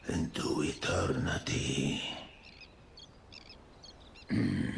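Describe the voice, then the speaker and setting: An actor playing a grave, solemn mastermind in a video game cutscene, measured and portentous. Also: male voice, a bass voice, gravelly, a little hiss or hum, full character voice. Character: solemn, grave mastermind preaching humanity's eternal destiny.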